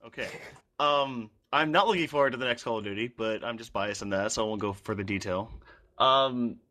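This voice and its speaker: A man speaks in an informal podcast discussion. His voice sits at an average male pitch, and he talks conversationally as a co-host would. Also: a little fast, sharp tone. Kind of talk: casual chat.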